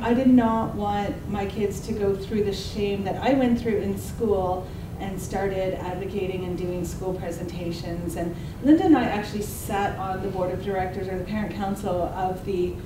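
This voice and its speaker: A woman's voice: mid-range voice, fairly even intonation, normal speed, few pauses. A woman in her forties from Ottawa, introducing herself on a panel in an earnest way. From Ottawa, Canada. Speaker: symposium presenter. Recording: echoey hall, distant mic, noisy.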